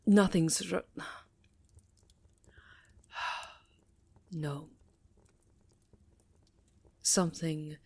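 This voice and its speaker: A woman playing an elegant, affectionate yet guarded vampire countess in intimate roleplay ASMR, with a formal, theatrical, breathy murmur. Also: low-pitched, heavily acted. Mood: troubled, guarded. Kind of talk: roleplay ASMR acting, intimate address to listener.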